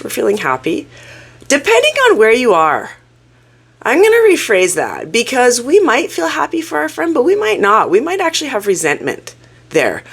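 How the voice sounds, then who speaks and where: A woman hosting her own channel, sounding croaky from a cold as she talks through a topic in a casual, earnest, self-reflective way. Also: medium pitch. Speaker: YouTube channel host. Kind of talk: casual talking-head explainer.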